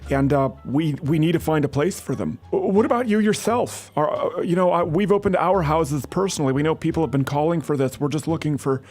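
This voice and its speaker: A man's voice: on the high side, a little fast, few pauses, a little mellow, faint music behind. A man making a prank phone call as an earnest-sounding aid worker, pushing persistently. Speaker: prank caller.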